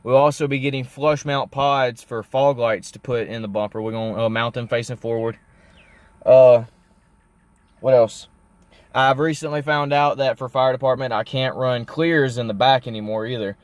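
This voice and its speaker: A young man chats informally to his viewers as a car vlogger. His voice sits at an average pitch, and the sound is dry, as if inside a parked car. He rambles along in a folksy, unscripted way as he explains things. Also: fairly even intonation, normal speed, dry recording. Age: young adult. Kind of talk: casual unscripted vlog.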